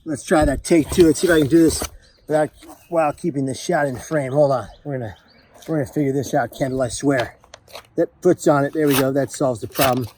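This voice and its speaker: A man's voice: medium pitch, fairly quick, a little mellow, slight background noise. A man chatting casually as a vlogger, exuberant, playful and emphatic in his delivery. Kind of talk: excited casual vlog chat while opening a parcel.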